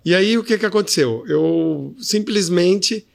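A man's voice: high voice, unhurried, gravelly. Storytelling man with a local Pará accent. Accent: Pará Portuguese. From Brazil. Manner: storytelling, animated anecdotes. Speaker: podcast guest. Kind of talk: casual interview chat.

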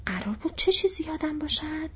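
A woman's voice: high voice, very animated, voice pushed up. A woman voicing a fussy, bumbling old male innkeeper who rambles and exclaims, in a dull lo-fi recording. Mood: flustered.

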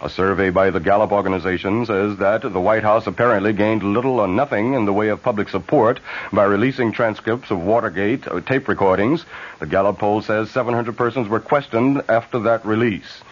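A man's voice: on the low side, brisk. A man with an American accent reading a news bulletin on 1970s radio. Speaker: radio newsreader. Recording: muffled vintage AM radio recording.

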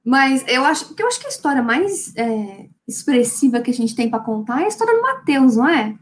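A woman with a high voice tells a story in an interview, gushing over the sweet parts and pausing on fillers. A faint noise sits behind her. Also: sing-song. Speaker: science communicator on a channel.

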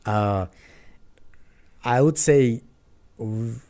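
A man with a Brazilian accent talks through his experiences in a relaxed interview. His English is earnest and full of restarts as he reaches for words. He speaks at a middling pitch for a man. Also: extremely slow. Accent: Brazilian Portuguese. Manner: earnest, frequent restarts, non-native English. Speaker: guest. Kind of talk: casual interview conversation.

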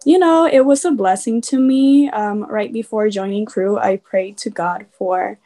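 A woman's voice: medium pitch, measured pace, mellow. A woman, speaking earnestly as a guest on a video call. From Puerto Rico. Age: 20s. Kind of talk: casual video-call chat.

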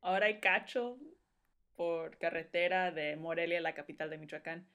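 A woman's voice: fairly low pitch, fairly even intonation, moderate pace, fairly bright tone. A young woman from Mexico, a podcast guest telling her life story in a rambling way full of fillers. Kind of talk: casual conversational storytelling.

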